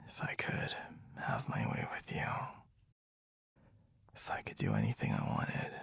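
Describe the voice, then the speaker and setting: A possessive young best friend, voiced by a man, murmurs close to the ear in slow, husky whispers full of heavy sighs. His voice crackles with strong gravel and a bright edge, and it swings widely in pitch. The sound is intimate and dry, with no room around it. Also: dry recording, full character voice. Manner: low, breathy whispering with frequent sighs. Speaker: ASMR roleplay voice actor. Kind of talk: whispered ASMR boyfriend roleplay.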